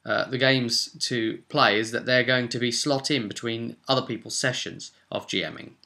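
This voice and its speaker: A man's voice: mid-range voice, sharp tone. A man talking informally in a casual gaming vlog, thinking aloud as a tabletop game master in a conversational way.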